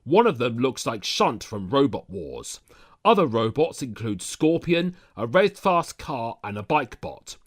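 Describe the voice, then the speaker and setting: A man's voice: medium pitch, sing-song, a little slow. A man reading scripted narration, retelling a cartoon adventure episode as a storyteller. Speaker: narrator of an animated-series recap.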